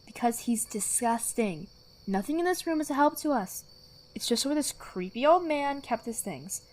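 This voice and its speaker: A woman playing a blunt, squeamish mercenary, expressive and exasperated, in an audio drama. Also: a little high, moderate pace, natural voice, rough recording. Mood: disgusted, uneasy.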